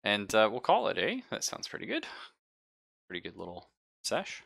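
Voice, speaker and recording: A man's voice: on the low side, wildly swooping pitch, normal speed, creaky, a bit bright, dry recording, studio quality. A man running a tabletop game as game master, casual and joking, with plenty of swearing.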